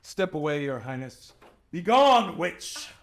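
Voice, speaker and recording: A man playing a strong, gruff guard captain in his fifties in a fantasy table read, with a commanding manner. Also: on the high side, big pitch swings, takes it slowly, full character voice. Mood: alarmed, commanding.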